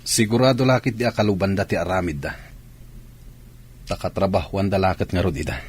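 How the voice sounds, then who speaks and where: Guy acting a deep slow brooding hurt man, solemn radio drama, bit of noise. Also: lightly acted. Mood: troubled. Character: hurt, brooding husband or lover, grave and bitter.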